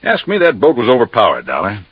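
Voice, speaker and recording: An American actor playing a matter-of-fact, folksy Coast Guard captain explaining things in a 1950s radio drama. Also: male voice, mid-range voice, wildly swooping pitch, fast talker, breathy edge, dry recording. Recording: dull, dry vintage radio recording.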